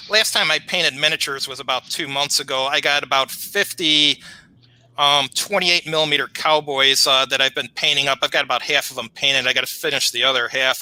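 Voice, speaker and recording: A middle-aged man with a Chicago accent chatting casually as an interview guest, rattling along in a rapid-fire, chatty way. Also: medium pitch, pushed and bright, no room sound, low-quality recording. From Chicago, United States. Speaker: guest, war game reviewer.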